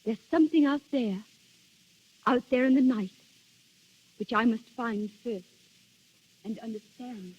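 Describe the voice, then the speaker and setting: A woman's voice: fairly high pitch, a little slow, pauses often, slight background noise, natural voice. An actress playing a delicate, dreamy young noblewoman, earnest and theatrical in 1930s radio style. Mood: wistful. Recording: dull 1930s radio recording.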